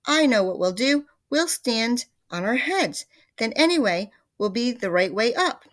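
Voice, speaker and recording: A woman's voice: a little high, sing-song, a little slow, a bit bright, pitched above the performer's own voice. A woman voicing a nasty, flustered old husband who gasps out his lines in alarm. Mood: excited. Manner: gasping, alarmed.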